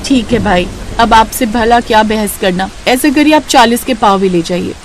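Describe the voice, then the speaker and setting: A woman's voice: on the high side, moderate pace, slight background noise, lightly acted, low-quality recording. A woman voicing a spirited, kind young street vendor in a cartoon story, earnest and chirpy. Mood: businesslike.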